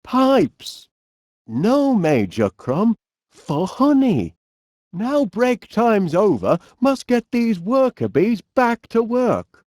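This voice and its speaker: A man's voice: high voice, wildly swooping pitch, slow, darkish tone, no echo, studio quality, full character voice. A man playing a cheerful middle-aged eccentric inventor in a cartoon video game, with a Northern English accent and a quaintly polite, dithering manner.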